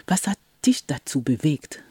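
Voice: mid-range voice, sing-song, unhurried, a little mellow, breathy edge, dry recording, clean studio sound. A radio host who interviews a guest, asking short, affirming questions.

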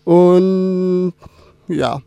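Slow, halting young man. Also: sits high, fairly even intonation, creaky, dark tone, smooth, no room sound. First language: Turkish. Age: 20s. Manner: halting, many fillers and restarts. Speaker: radio guest. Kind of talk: casual interview.

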